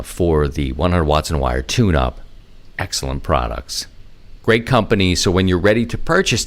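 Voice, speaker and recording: Male podcast host, low voice, folksy and plain-spoken, informal delivery straight to the listener. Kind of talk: casual podcast talk to listeners.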